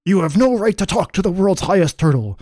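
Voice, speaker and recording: A man's voice: sits high, expressive, fairly quick, darkish tone, no echo, studio quality, voice pushed up. A man voicing a boastful, bossy turtle king from a children's storybook, with a pompous, bellowing delivery. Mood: indignant, defiant. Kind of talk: animated children's storybook reading.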